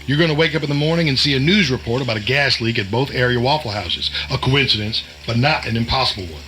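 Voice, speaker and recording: A man's voice: mid-range voice, quick pace, sharp tone, close to an everyday voice, poor audio. Male actor as secret agent posing as night cook: folksy, sardonic, glib, very hard-edged tone, slight noise behind. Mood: confident, folksy. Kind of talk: audio drama dialogue.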